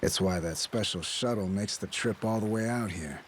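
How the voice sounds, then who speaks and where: A man's voice: lowish voice, normal speed, a little hiss or hum, lightly acted. A man voicing an easygoing islander in a video game dub, casual and chatty. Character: easygoing male islander who gives boat lifts.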